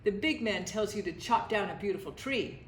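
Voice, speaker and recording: A woman's voice: medium pitch, animated, normal speed, roomy sound, slight background noise, close to an everyday voice, poor audio. A woman voicing a reproachful, concerned anteater parent in a storybook.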